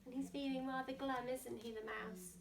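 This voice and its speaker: A woman's voice: high-pitched, steady tone, normal speed, creaky, recorded from a distance. A woman reading a picture book to young children theatrically, with asides and questions to her listeners. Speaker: storybook reader. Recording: echoey room.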